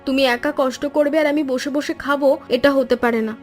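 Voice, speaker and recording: Woman as high-voiced, dutiful young granddaughter. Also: steady tone, a little fast, lightly acted. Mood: earnest. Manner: earnest, solicitous. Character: dutiful, caring college-age granddaughter. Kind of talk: animated storybook cartoon dialogue. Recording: music bed under the voice.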